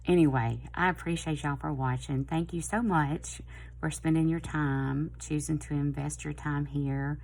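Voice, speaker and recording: A middle-aged woman with a Southern US accent, chatting casually and a little hoarse, in a rambling, friendly way. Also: deep voice, steady tone, a little slow, darkish tone. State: slightly hoarse. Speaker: planner vlogger. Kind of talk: casual chat to camera.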